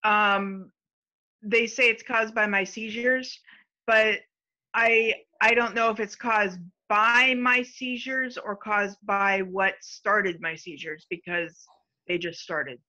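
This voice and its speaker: A woman being interviewed at home in a casual chat. She answers slowly and hesitantly, with many fillers. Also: mid-range voice, steady tone, pushed and bright, low-quality recording.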